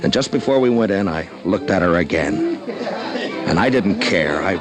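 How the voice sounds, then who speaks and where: A low, unhurried voice of a frontier marshal, thinking back on a past night with quiet, halting pride. It comes from an old radio broadcast with a loud music bed under the words. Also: male voice, expressive. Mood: tender. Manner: slow, halting, reflective narration. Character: steady frontier marshal, grown man, quietly proud. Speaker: marshal narrating and acting. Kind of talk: 1950s radio drama acting.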